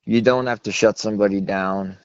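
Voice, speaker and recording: Male interview guest, low voice, long-winded storyteller with a self-deprecating streak, dull dry phone audio. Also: fairly even intonation, brisk, dry recording. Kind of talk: rambling phone interview conversation. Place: phone call. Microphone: telephone. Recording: muffled low-fidelity phone line.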